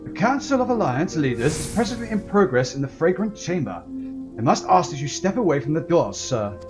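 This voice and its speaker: Man playing a polite, high-voiced door guard. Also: very animated, brisk, over music. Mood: dutiful, deferential. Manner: formal, apologetic. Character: polite, dutiful male door guard, deferential.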